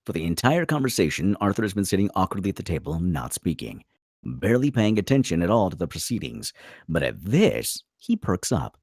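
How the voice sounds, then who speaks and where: Husky, very animated male narrator. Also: low-pitched, a little fast, no echo, studio quality. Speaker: narrator reading stage directions. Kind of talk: dramatic scripted narration at a table read.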